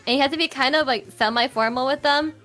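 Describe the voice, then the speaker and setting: A young woman with a high-pitched, loud voice, chatting casually as an interview guest, with many fillers and restarts. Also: fast, faint music behind. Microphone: home streaming mic with EQ and compressor.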